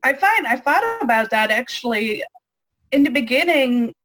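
A woman's voice: on the high side, expressive, normal speed, fairly bright tone, no echo, poor audio. A woman, answering interview questions casually with frequent ums and restarts. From Netherlands. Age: 20s. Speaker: interview guest. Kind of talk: casual interview over a call.

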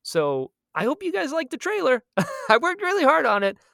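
Animated, self-deprecating man chatting casually. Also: extremely high pitch, moderate pace, vocal fry, no room sound, studio quality. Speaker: podcast co-host and audio drama creator. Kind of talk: casual chat.

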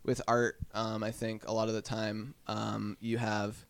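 A man's voice: on the low side, completely flat delivery, measured pace, gravelly. A young man from New Hampshire, a guest photographer, talking casually on a podcast with many fillers and restarts. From Manchester, New Hampshire.

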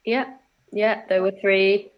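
A woman's voice: medium pitch, steady tone, slow pace, highs cut off. A woman with a London accent, a guest in a casual interview, talking thoughtfully with fillers and restarts. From England.

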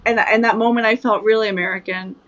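A woman's voice: a little high, fairly even intonation, fast, highs cut off, a crowd in the background. Chatty woman with lots of fillers. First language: Japanese. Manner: rambling, many fillers and restarts. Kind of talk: casual chat.

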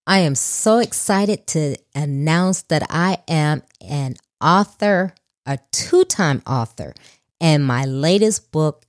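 A woman's voice: low-pitched, no echo, clean studio sound. A woman from Chicago, hosting a podcast, reading an upbeat intro and then chatting casually with her guest. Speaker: podcast host.

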